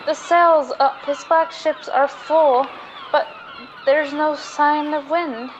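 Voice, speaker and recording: A woman's voice: high voice, fairly even intonation, slow, lo-fi sound, a little hiss or hum. A woman playing a devoted, lovestruck young woman, earnest and melodramatic in an old-time radio drama. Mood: pleading, distressed.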